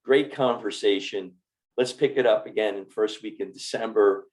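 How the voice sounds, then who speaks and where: A man who is a doctor hosts a health podcast in a fairly low voice. He talks with warm enthusiasm, stumbling over repeated words as he wraps up the conversation. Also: normal speed, low-quality recording. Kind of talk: casual interview talk.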